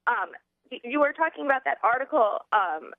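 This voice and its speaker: An American woman with a fairly high voice chats about politics as a radio co-host. Her delivery is casual and conversational. She comes through on a narrow telephone line with little room sound. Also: very animated, a little fast, no room sound. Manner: informal, chatty, conversational.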